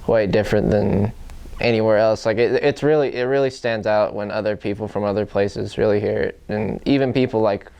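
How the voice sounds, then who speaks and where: A man with an Appalachian accent giving halting interview answers full of fillers. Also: on the low side, fairly even intonation, vocal fry, dark tone, smooth.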